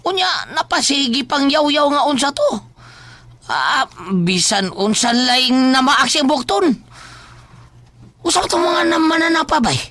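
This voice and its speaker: A man voicing an excitable, nosy, comical neighbour in a horror audio drama, chattering and yelping with a Cebuano Filipino accent. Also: very high-pitched, measured pace, pauses often, no room sound, full character voice. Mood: curious chatter.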